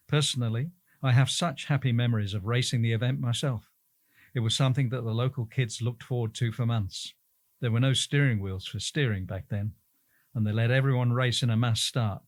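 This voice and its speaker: A man's voice: medium pitch, no echo. A man reading out prepared interview answers.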